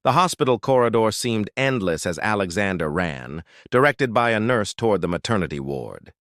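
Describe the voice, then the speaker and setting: A man narrating a scripted dramatic story in a measured, dramatic way. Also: fairly low pitch, animated, fairly bright tone, no echo, clean studio sound.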